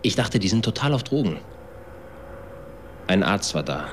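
A man's voice: fairly low pitch, big pitch swings, normal speed, background noise. An actor playing a male witness giving a sober, matter-of-fact account in a German radio drama. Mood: sober, troubled. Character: male witness soberly reporting a troubling call-out.

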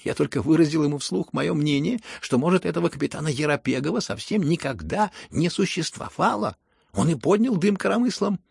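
A man's voice: mid-range voice, sing-song, fairly quick, vocal fry, few pauses, slightly rough, dry recording, studio quality, heavily acted. A man voicing a sickly, aggrieved young man in an audiobook, protesting in a mocking, sneering way. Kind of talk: dramatized audiobook reading.

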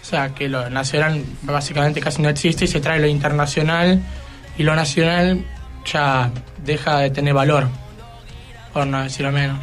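A man's voice: fairly high pitch, steady tone, normal speed, a bit bright. A teenage male student guest in a radio studio, giving his thoughts in a hesitant, careful way. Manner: hesitant, searching for words. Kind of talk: reading a report and informal opinion. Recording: music behind the voice.

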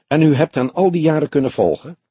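Audiobook role: veteran grey-haired detective man narrator voicing, courteous, probing, husky edge, recorded dry. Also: fairly high pitch, quick pace, no room sound, pitched above the performer's own voice. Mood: calm, amused. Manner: calm, inquisitive, formal. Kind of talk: audiobook character dialogue.

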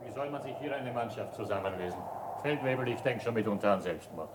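An actor playing a despairing, world-weary army recruiter who grumbles, in a radio drama. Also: male voice, medium pitch, animated, fast, natural voice. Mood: despairing, grumbling. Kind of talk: stage-like radio drama acting. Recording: noisy 1970s radio drama recording.

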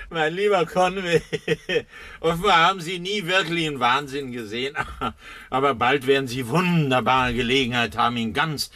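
An actor playing a villainous nerve doctor in a 1960s German radio thriller, mock-friendly, sardonic and coldly menacing. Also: male voice, fairly high pitch, normal speed, pushed and bright, no room sound. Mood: gloating.

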